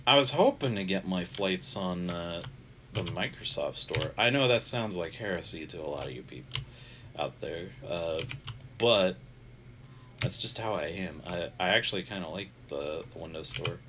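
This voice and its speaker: A man with a deep, stuffy, nasal voice talks loosely over a game, trailing off and filling pauses as he goes. He sounds a bit worn out. Steady keyboard and mouse clicks come through clearly behind him on the headset mic. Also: a little hiss or hum. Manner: rambling, many filler sounds, self-deprecating. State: congested, nasal; tired. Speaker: gaming channel host. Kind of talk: casual gameplay commentary. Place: home bedroom. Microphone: gaming headset.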